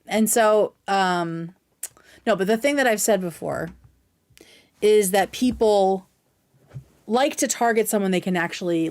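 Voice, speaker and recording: A woman hosting a livestream, giving informal, opinionated commentary and explaining her points at length. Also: medium pitch. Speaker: livestream host. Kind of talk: casual commentary reacting to clips.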